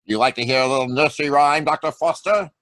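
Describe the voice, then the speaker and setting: A man's voice: on the high side, fast talker, a bit bright, no echo, lightly acted. A man playing a confident hero detective with a secret identity in an old-time radio drama read over a video call. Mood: mocking.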